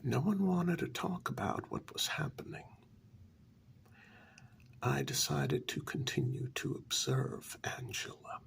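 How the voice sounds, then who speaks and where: A man telling a story in a soft-spoken ASMR style, breathy and deliberate, with many dramatic pauses. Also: mid-range voice, wildly swooping pitch. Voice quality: breathy half-whisper with heavy creak. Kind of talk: soft-spoken ASMR story narration, first-person role-play.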